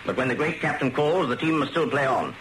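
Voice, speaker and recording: Actor as clipped British spymaster major. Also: male voice, mid-range voice, lively intonation, a little fast, a bit bright, slight background noise, lightly acted, poor audio. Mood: cool, authoritative. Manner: clipped, coolly authoritative. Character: clipped, ruthless, brisk military intelligence chief. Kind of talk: radio spy drama acting.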